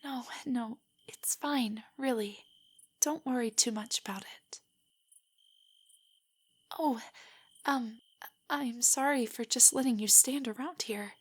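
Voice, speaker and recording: A woman playing a shy, nerdy young girlfriend in an intimate audio roleplay, soft and breathy, stammering with nerves. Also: a little high, slow pace, pauses often. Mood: nervous, shy. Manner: nervous, stammering, hesitant rambling. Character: shy, nerdy, easily flustered young adult girlfriend on a date.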